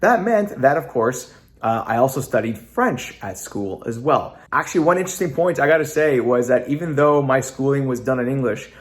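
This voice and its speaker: A man's voice: mid-range voice, expressive, normal speed. A young Canadian man with a North American accent, a native Italian speaker, talking earnestly to camera in a casual vlog with lots of "uh" fillers. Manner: earnest, repetitive, frequent "uh" fillers. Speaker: vlogger.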